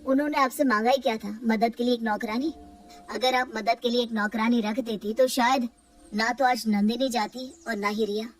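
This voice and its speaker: A woman's voice: on the high side, steady tone, moderate pace, distant mic, natural voice. A woman voicing an irritable young son who snaps at his mother and later reproaches her. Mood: reproachful.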